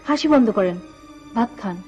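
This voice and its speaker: A woman's voice: on the high side, animated, normal speed, mellow, close to an everyday voice. An actress playing a patient, firm village woman in a comic drama, with a rural Bangladeshi accent. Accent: rural Bangladeshi Bengali. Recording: dull soundtrack with faint music.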